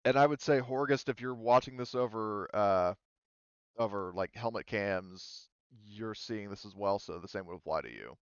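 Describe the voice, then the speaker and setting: A man's voice: lowish voice, expressive, normal speed, clear, resonant tone, no room sound, highs cut off. A man running a tabletop game as game master, rambling along with lots of fillers and self-corrections.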